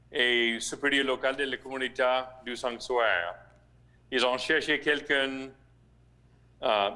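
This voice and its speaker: Slow halting man with an American accent. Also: mid-range voice, bright, forceful tone. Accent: American English. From United States (Missouri). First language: English. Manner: slow, halting, with restarts and searched-for words. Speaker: rector of a shrine, priest. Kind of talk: interview answers in a learned second language.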